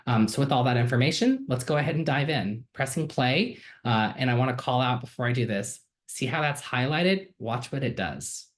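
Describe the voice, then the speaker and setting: A man's voice: medium pitch, animated, moderate pace, few pauses. Man, student, casual screen-share demo, enthusiastic, filler-heavy, computer mic. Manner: friendly and enthusiastic, with frequent ums and uhs. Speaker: student giving a software demo.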